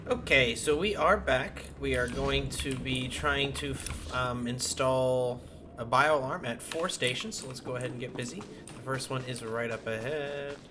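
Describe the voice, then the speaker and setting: A man's voice: mid-range voice, moderate pace. Chatty male gamer over noticeable noise. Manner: chatty, thinking aloud, playful little catchphrases. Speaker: gaming streamer. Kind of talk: casual gameplay commentary. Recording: clear background game noise.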